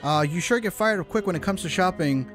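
A man's voice: sits high, animated, a little fast. A man giving casual, joking, slangy commentary as he plays through a game. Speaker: gaming YouTuber. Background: loud game music.

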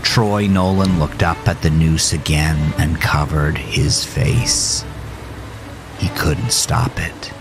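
A man with a low, slow voice narrates a horror story. His delivery is ominous and theatrical, with clear music behind him. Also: little pitch movement. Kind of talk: dramatic scripted horror narration.

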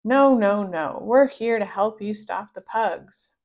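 A woman's voice: mid-range voice, slow pace, phone-quality sound. A woman voicing a helpful, eager snake in a children's storybook, speaking emphatically. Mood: reassuring.

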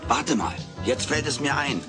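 A man's voice: mid-range voice, animated, moderate pace, over music, lightly acted. A man playing a wisecracking, meddling furry alien houseguest in a theatrical, mock-grand manner, in a dubbed sitcom audio play. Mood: worried, scheming.

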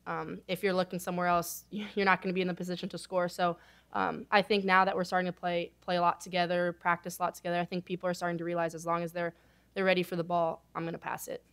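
A young woman basketball player answering reporters at a postgame press conference, quick and relaxed with frequent fillers, into a table microphone. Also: on the low side, clear, resonant tone. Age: young adult.

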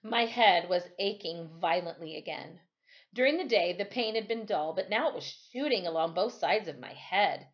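A middle-aged woman reading a children's novel aloud in a measured, expressive storytime style at home. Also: on the low side. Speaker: storytime reader. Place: small furnished room at home. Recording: slightly dull, lo-fi webcam sound.

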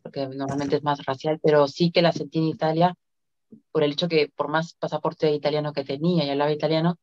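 A woman's voice: deep voice, level tone, quick pace, a little mellow. A middle-aged woman from Latin America chatting informally as an interview guest. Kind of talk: casual conversational interview. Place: indoor room, on a video call. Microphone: video call device microphone. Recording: dull, lo-fi video call audio.

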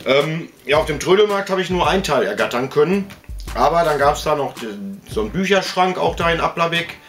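A man's voice: on the high side, expressive, brisk, a bit bright, faint music behind. A man, chatting casually to camera in a colloquial, rambling way full of tag words. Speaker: host of a collectibles video channel. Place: small indoor collector's room.

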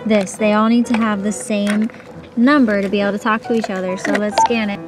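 A woman's voice: medium pitch, flat delivery, fairly quick, loud music behind the voice. A woman casually explaining and giving children instructions as she films a toy demo and games outdoors in a yard. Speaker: parent filming and guiding children's play.

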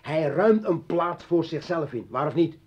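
An actor playing a bitter, militant revolutionary and former journalist in a radio play, ranting and taunting in halting bursts. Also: male voice, a little high, expressive, darkish tone, slightly rough. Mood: bitter, insistent. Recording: dull vintage radio drama recording.

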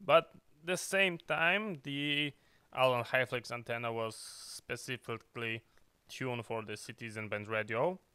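A man's voice: medium pitch, bright, forceful tone, clean tone, dry recording. A man who is not a native English speaker, explaining in an unscripted, choppy way as a video host. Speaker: video host, radio hobbyist.

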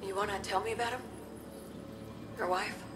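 A woman playing a kind, plainspoken friend in a video game drama, gentle, folksy and a little hesitant. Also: medium pitch, steady tone, normal speed, lightly acted. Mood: gentle, consoling. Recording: noisy ambient background.

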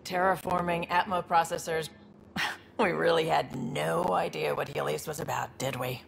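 A woman's voice: low-pitched, steady tone, normal speed, vocal fry, slight background noise. An actress playing a wry young woman crew member who trades on the side, teasing and breezy. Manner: teasing, breezy, laughs easily.

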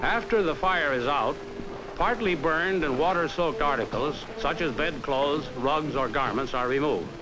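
An American man reading a scripted 1950s training film narration in a measured, instructive, carefully enunciated way, on a vintage film soundtrack. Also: a little high, poor audio. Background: background music.

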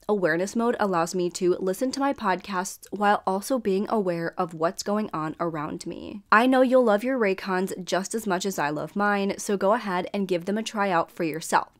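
A young woman in her twenties hosting a true crime show, reading her script to camera in a small room at home, her voice a little scratchy and stuffy from a dry throat. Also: mid-range voice. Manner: earnest, measured storytelling.

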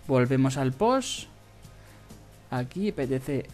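A tutorial host speaks with a Spain Spanish accent in a casual screen-recording style. The voice sits at a middling pitch and moves haltingly, often pausing and stretching out hesitations. Faint music plays behind the talk. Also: very animated, darkish tone. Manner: halting, hesitant delivery with drawn-out pauses. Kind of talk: casual screen-recorded tutorial about earning money online. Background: background music.

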